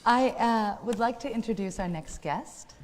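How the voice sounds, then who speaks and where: A woman's voice: on the high side, normal speed, over music. A woman with an American accent hosting a live stage show, speaking in a formal, courteous way. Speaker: host. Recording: hall reverb.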